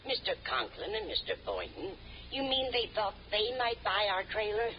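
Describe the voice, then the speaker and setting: A woman's voice: mid-range voice, big pitch swings, moderate pace, a little hiss or hum, close to an everyday voice. Dotty older woman played by an actress, muffled radio. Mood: chatty, muddled. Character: dotty, absent-minded, kindly older landlady. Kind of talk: 1950s radio situation comedy acting. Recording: muffled old radio recording, audience behind.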